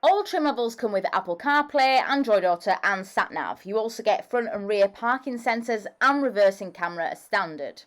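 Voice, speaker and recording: A woman's voice: medium pitch, expressive, normal speed, hardly any pauses. A woman reading an upbeat, scripted voice-over for a car review. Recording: voice-over with faint music underneath.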